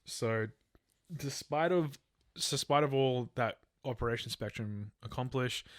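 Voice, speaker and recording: A man's voice: lowish voice, no room sound, clean studio sound. A man co-hosting a true crime podcast, telling a case from notes in casual language, stumbling and correcting himself. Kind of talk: semi-scripted case narration with casual chat.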